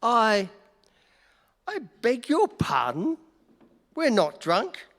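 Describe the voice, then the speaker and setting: A man's voice: very high voice, wildly swooping pitch, extremely slow, fairly bright tone, exaggerated voice. Man acting a swooping, easygoing wisecracking reporter. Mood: wry. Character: easygoing, wisecracking New York newspaper reporter. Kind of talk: radio play acting. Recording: echoey hall, noisy stage recording.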